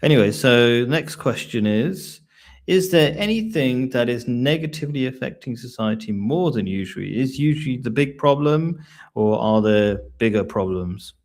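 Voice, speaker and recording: A man's voice: medium pitch, animated, moderate pace, a little mellow. A man from England hosting a live online discussion, asking questions and often restarting his sentences. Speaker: host.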